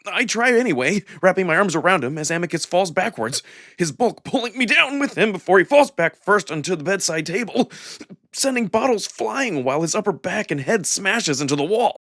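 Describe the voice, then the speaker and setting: A man's voice: high-pitched, expressive, fairly quick, a bit bright, dry recording, clean studio sound. A man narrating a first-person story dramatically, as a voice performer reading a visual novel. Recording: music behind the voice.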